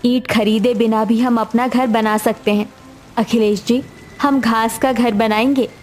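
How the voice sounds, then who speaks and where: A woman's voice: fairly high pitch, steady tone, a little slow, a little mellow, a little hiss or hum, natural voice. A woman voicing a poor, devoted, hopeful young daughter-in-law in a cartoon family story. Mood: determined, hopeful. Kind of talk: cartoon dialogue acting. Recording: music behind the voice.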